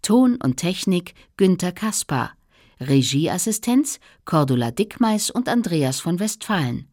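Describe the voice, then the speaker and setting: Female radio announcer, low register, lively intonation, slow scripted credits, dry close sound. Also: no room sound. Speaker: announcer reading closing credits.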